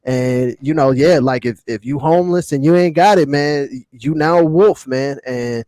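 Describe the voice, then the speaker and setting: A man's voice: fairly high pitch, moderate pace, a little mellow, no echo, studio quality. An American man co-hosting a casual hip-hop podcast, talking in slang and repeating phrases for emphasis. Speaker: podcast co-host.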